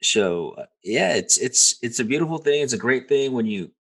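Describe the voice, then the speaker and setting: A man's voice: mid-range voice, expressive, normal speed, a bit bright. A man hosting a podcast interview, stammering and repeating words as he starts his sentences. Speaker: podcast host.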